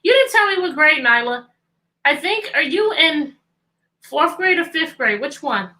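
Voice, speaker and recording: A woman's voice: high voice, expressive, a little slow, pushed and bright. A woman teacher hosting an interactive children's read-aloud, telling the story expressively and putting repeated questions to her listeners.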